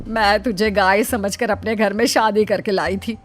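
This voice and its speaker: A woman's voice: medium pitch, fairly even intonation, moderate pace, soft background music, close to an everyday voice. A woman playing an exasperated, scheming middle-aged mother-in-law in a Hindi cartoon story, with a dramatic, complaining delivery.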